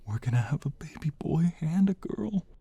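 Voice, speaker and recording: A man playing a tender, devoted mobster husband in an ASMR romance roleplay, speaking in a hushed, earnest, husky, gravelly voice. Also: on the high side, animated, exaggerated voice. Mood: awed, happy. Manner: earnest, sentimental, hushed, unhurried.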